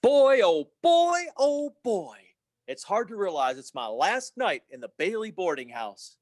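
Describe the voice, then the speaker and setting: Radio play: man as restless young dreamer, earnest, high-pitched, very animated. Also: measured pace, no echo, clean studio sound. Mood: excited, fond. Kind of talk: old-time radio play acting.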